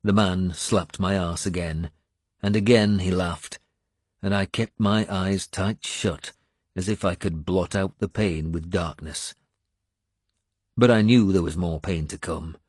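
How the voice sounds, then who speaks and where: A male audiobook narrator with a low voice reads first-person fiction. His storytelling is measured and dramatic, taken slowly. The recording is dry and close. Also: steady tone, darkish tone, slightly rough, dry recording.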